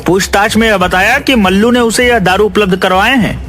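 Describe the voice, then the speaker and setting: A man's voice: high-pitched, a little fast, fairly bright tone, soft background music. A man voicing a stern village police inspector in a Hindi cartoon, speaking in an official, declarative way. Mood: stern, reporting. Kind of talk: Hindi moral-story cartoon acting.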